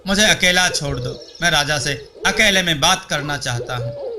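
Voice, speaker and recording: A man's voice: a little high, expressive, moderate pace, bright, forceful tone. A man voicing an earnest, confident young man in a folk tale, speaking politely and insistently to reassure.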